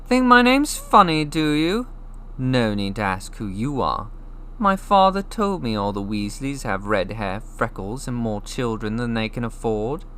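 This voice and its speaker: A man's voice: mid-range voice, big pitch swings, measured pace, fairly bright tone, slight background noise, pitched above the performer's own voice. A man voicing a snobbish, sneering young schoolboy wizard with a mocking drawl, reading a storybook. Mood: sneering menace.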